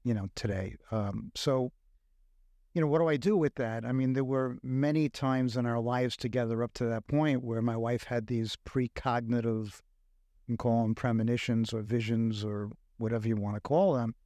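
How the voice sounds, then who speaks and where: Older Brooklyn man, reflective guest, mid-pitched, close dry studio mic. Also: steady tone, warm tone, dry recording. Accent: Brooklyn New York. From Brooklyn, New York. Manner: reflective, halting with many fillers. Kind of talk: casual podcast conversation. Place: recording studio.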